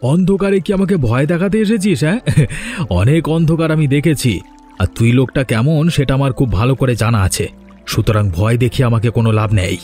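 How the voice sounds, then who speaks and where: Man voicing bold villager, soft dark tone. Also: mid-range voice, moderate pace, faint music behind, close to an everyday voice. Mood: defiant. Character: bold, principled young village man, Gopal, defiant and emotional. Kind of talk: Bengali cartoon drama dialogue.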